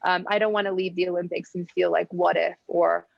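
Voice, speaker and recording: Gravelly young woman, dry lo-fi audio. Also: lowish voice, level tone, dry recording. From United States. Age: 20s. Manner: measured, thoughtful answers with frequent "um". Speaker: guest athlete. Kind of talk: podcast interview.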